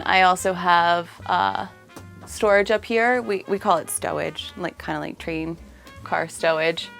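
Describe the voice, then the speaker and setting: A woman with a low-pitched voice walks through her home as its owner. She talks in a casual, explanatory house-tour style, with faint music behind her. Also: a bit bright. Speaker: homeowner giving a house tour.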